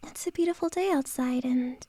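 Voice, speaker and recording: A woman playing a flustered boss who confesses her feelings to an employee in an ASMR roleplay. She speaks in a soft, hesitant whisper, close to the mic. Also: sits high, moderate pace, husky, no echo. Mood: tender, flustered.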